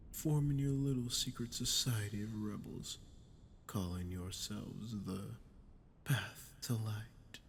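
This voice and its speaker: A man playing a sadistic, smug archdemon in an ASMR roleplay, talking down to the listener with slow, mocking condescension. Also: lowish voice, a little hiss or hum. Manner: mocking, condescending, slow taunting delivery with breathy drops. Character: sadistic, smug male archdemon toying with a captive ruler. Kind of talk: ASMR audio roleplay, dramatic monologue to the listener.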